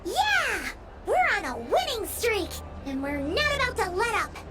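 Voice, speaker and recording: A woman's voice: big pitch swings, measured pace, loud music behind the voice, exaggerated voice. A woman voicing a tiny, childlike fairy companion in a video game, with an eager, bubbly manner. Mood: excited. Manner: squeaky, bubbly, talks in third person. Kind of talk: scripted video game voice acting.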